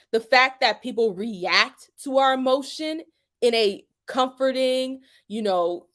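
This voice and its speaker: A young woman giving a casual opinion monologue to camera, emphatic and colloquial, often checking in with "okay?", swearing freely and laughing between points. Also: high voice. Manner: colloquial, drops into "y'all" and "ain't". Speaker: YouTube commentator.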